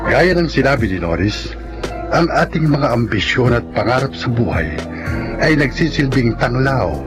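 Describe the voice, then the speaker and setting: A man's voice: mid-range voice, big pitch swings, normal speed. A man with a Filipino accent reading scripted radio drama narration and credits in a formal, dramatic style. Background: prominent music.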